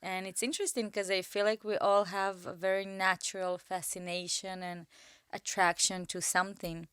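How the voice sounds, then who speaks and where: Woman, podcast guest, soft-spoken, thoughtful, dry close sound. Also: mid-range voice, level tone, moderate pace, clear, resonant tone, no room sound. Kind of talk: casual interview chat.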